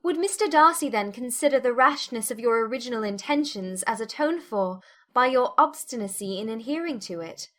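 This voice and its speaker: An actress playing a witty, self-possessed young gentlewoman, formal and pointed, in a dramatised classic novel. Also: fairly high pitch, expressive, moderate pace. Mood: challenging.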